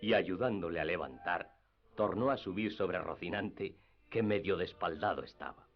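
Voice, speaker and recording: A man's voice: low voice, normal speed. A man reading scripted literary narration for radio theatre. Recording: dull lo-fi radio drama with music.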